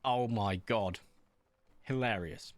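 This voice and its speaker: A man streaming from home, chatting casually in a rambling, self-mocking way and pausing often. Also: on the low side, dry recording. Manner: rambling, self-mocking, hesitant with many ums. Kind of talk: casual chat reading viewer comments while playing.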